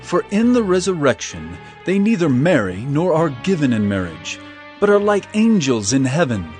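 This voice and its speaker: An actor playing Jesus as a calm, authoritative teacher in a dramatized scripture reading, with measured, solemn delivery. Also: male voice, a little high, soft background music. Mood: firm.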